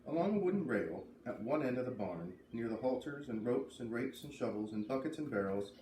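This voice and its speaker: A man's voice: medium pitch, expressive, a little fast, dark tone. A man hosting a read-aloud, narrating a children's book. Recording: echoey room, distant mic, faint noise.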